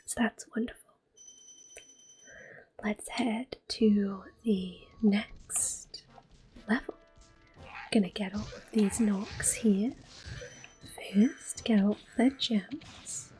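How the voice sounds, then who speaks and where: Female ASMR gameplay host: soft-spoken to whispered, unhurried delivery, medium pitch. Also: husky, rough recording. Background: game music.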